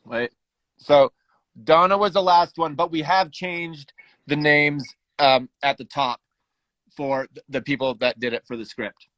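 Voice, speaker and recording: A man hosting a live raffle in casual livestream chat, haltingly thinking aloud as he handles each task. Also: on the high side, steady tone, a bit bright, no echo, rough recording. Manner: halting, thinking aloud while doing tasks.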